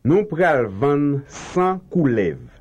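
A man gives careful, deliberate translations for learners to repeat. His pitch moves around a lot and he takes his time over every word. The recording sounds dull and lo-fi, like an old tape. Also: big pitch swings, a little mellow, breathy edge. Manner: slow, carefully enunciated translations. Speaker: language teacher. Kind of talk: slow repeat-after-me language drill.

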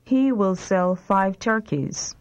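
A woman reading short prompt sentences slowly and clearly for a language drill. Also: medium pitch, expressive. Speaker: language lesson reader. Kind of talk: slow repeat-after-me language drill.

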